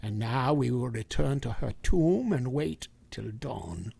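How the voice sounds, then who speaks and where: An actor playing a grave, commanding older professor who hunts vampires in a vintage radio drama, speaking urgently and deliberately. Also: male voice, mid-range voice, expressive, gravelly, warm tone, husky, no echo, exaggerated voice. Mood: grave, reassuring.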